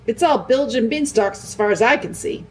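A woman's voice: fairly high pitch, normal speed, slight background noise, voice pushed up, natural voice. A woman voicing a blunt, skeptical male dwarf soldier who grumbles in plain words. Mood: grumbling.

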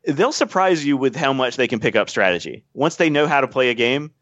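A man's voice: mid-range voice, lively intonation, fairly quick, a bit bright, dry recording. A man explaining game design at length as a podcast guest, thoughtful and full of small fillers. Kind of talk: casual podcast chat. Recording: dull, dry podcast audio.

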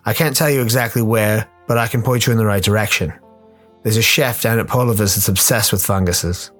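A man's voice: fairly low pitch, fairly quick, soft background music, natural voice. Man acting a grim, knowing slum doctor. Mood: grave. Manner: matter-of-fact, explanatory.

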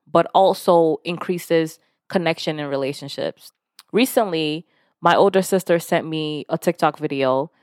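Podcast host, a woman from Nigeria with Yoruba as her first language. Lower-pitched voice, dry close sound. Candid self-help talk, casual, a little profane. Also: no echo. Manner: frank and conversational, with casual swearing; lively when retelling stories, voicing others.

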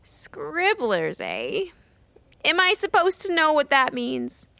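A crotchety old woman living out in the wilds, with a creaky, bright voice. She talks in a theatrical, folksy way with big swings of pitch, made for a children's audio drama. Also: sing-song, takes it slowly, no room sound, exaggerated voice. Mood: gruff, dismissive. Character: short, gruff but twinkly old woman hermit Maggie.

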